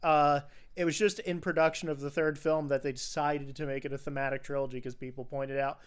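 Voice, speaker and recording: A man's voice: a little high, level tone, brisk, clean tone. A man hosting a casual film podcast, talking in an informal, explanatory way. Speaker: podcast host.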